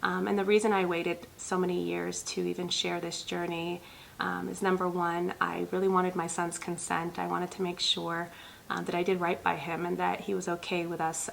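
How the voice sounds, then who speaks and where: Female host, 30s, from Ethiopia; earnest direct-to-camera talk, low-ish bright tone with some fry. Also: level tone, normal speed, a little hiss or hum, poor audio. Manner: earnest, many ums, speaks directly to viewers. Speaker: pediatric nurse practitioner and channel host.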